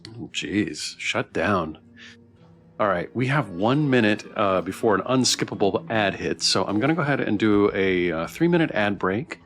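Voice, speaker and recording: A man's voice: fairly low pitch, expressive, moderate pace, a bit bright. A man giving casual livestream commentary as he plays a game, sometimes reading choices aloud. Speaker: streamer playing a game.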